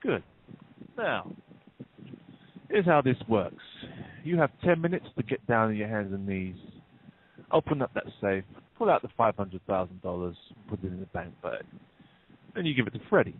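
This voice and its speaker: A man's voice: fairly low pitch, sing-song, normal speed, long pauses, a little hiss or hum. A man playing a smug, menacing bank robber in an audio play, speaking with taunting, mock-polite deliberation. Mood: cold, menacing. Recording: muffled narrow phone-like sound.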